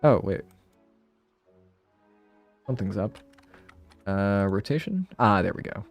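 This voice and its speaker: Casual streamer, lower-pitched man with animated pitch, stuffy and sniffly, thinks out loud. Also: takes it slowly, soft background music. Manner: rambling, self-interrupting, thinking aloud. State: sniffly from a cold. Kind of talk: casual live-stream tutorial chat.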